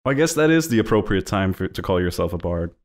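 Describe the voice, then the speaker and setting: A man's voice: deep voice, big pitch swings, fast. A young Danish man co-hosting a podcast, chatty and jokey, with rambling asides. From Denmark. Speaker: podcast co-host. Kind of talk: casual chat.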